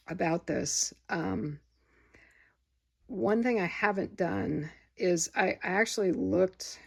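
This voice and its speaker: A tired woman with a gravelly voice, rambling casually about her record haul in a home vlog. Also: fairly low pitch, darkish tone. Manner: rambling, many fillers and restarts. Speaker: vinyl record vlogger. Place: home room with window open. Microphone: phone camera.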